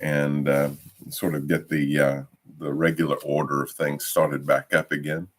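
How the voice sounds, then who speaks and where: A man leading an informal Bible study, a little croaky from a cough, hesitant with many ums and restarts. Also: a bass voice, level tone, normal speed.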